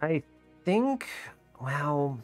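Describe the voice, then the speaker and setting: A man's voice: on the high side, very animated, extremely slow, faint music behind. A man giving casual gameplay commentary, chatty and informal, thinking aloud as he explains his strategy. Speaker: host of a gaming video series.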